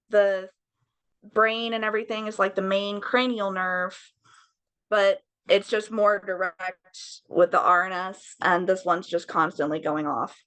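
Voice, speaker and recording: A young woman talking frankly in a casual interview, swearing freely, with a slightly raised, raspy voice. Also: mid-range voice, fairly bright tone. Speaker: podcast guest.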